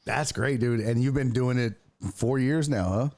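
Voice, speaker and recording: A man's voice: mid-range voice, moderate pace, darkish tone, no echo, studio quality. A man with a mid-range voice hosting an online talk show. He chats in a loose, easygoing way, drops casual swears, and laughs easily along with his guest. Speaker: sports talk show host. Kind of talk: casual interview chat.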